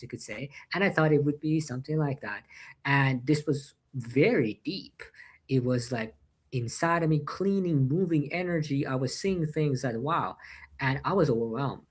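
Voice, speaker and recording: Male interview guest, mid-pitched, earnest and reflective, slightly halting English, heard over a video call. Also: husky. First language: Persian. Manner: earnest, with frequent "uh" and non-native grammar slips. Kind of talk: reflective personal storytelling.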